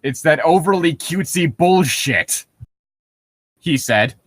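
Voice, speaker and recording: A man with a fairly high, very animated voice, riffing casually as a comedy podcast co-host. He swears freely and sounds mock-outraged, in a dry, close recording. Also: slow pace, breathy edge, no room sound.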